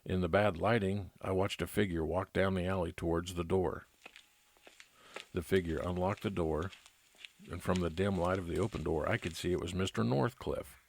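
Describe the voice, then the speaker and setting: A man's voice: low voice, fairly even intonation, moderate pace, gravelly, slightly rough, exaggerated voice. A man playing a private detective who narrates his own case matter-of-factly in an old-time radio drama style.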